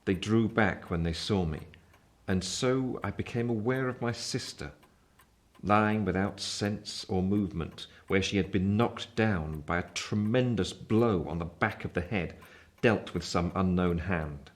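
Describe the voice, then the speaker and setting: Deep-voiced English reflective male narrator. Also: unhurried. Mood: grave, tense. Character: reflective grown man recalling his youth. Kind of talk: dramatic literary narration.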